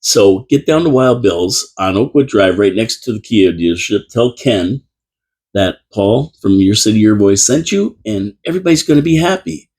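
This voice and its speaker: A man with a fairly low, husky voice hosts a live talk radio show from a small studio. He rambles in a relaxed, chatty way, trailing off and restarting his sentences as he goes. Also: normal speed. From Canada. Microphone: studio microphone.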